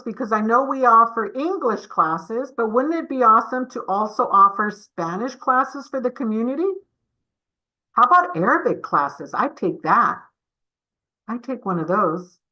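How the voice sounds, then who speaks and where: A woman's voice: a little high, bright, forceful tone, rough recording. A woman giving a community webinar presentation over a video call, encouraging and addressing the audience with questions.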